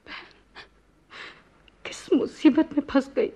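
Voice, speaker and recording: A woman's voice: sits high, steady tone, slow, warm tone. A woman playing a distressed young court lady in a radio play, plaintive and melodramatic. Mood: despairing.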